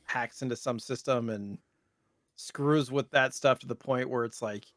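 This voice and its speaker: A man's voice: mid-range voice, unhurried, fairly bright tone, dry recording. A man co-hosting a podcast, talking casually in loose, hesitant phrases padded with filler. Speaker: podcast co-host. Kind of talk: casual chat.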